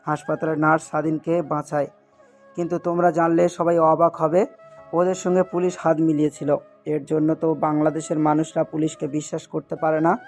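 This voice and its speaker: An earnest, protective uncle, acted by a man in an audio love-story drama. His voice sits a little high and stays level, with a soft, mellow tone and music playing behind. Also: flat delivery, a little fast, close to an everyday voice. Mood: grave, explaining.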